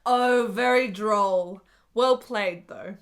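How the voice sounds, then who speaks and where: An actress playing an intense, imperious, sharp-witted woman in a period radio play. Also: mid-range voice, slow pace, lightly acted. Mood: wry.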